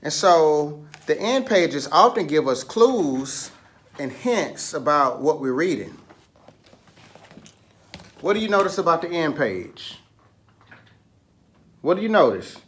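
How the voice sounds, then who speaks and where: A man's voice: a little high, very animated, slow pace, pauses often, a bit bright. A man leading a picture book read-aloud lesson for children, instructing, asking questions and prompting listeners along.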